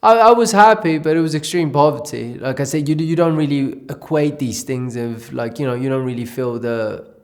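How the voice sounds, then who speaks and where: A man's voice: mid-range voice, moderate pace. A man from Ethiopia giving a reflective personal testimony in an interview, telling his life story in a loose, conversational way.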